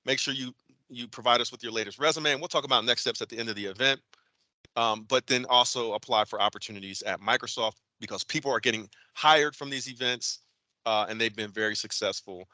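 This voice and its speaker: A man's voice: mid-range voice, a little fast, bright, forceful tone, no echo. A man hosting a virtual hiring event, introducing the keynote speaker in a casual way. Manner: casual, with filler words and restarts. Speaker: event host.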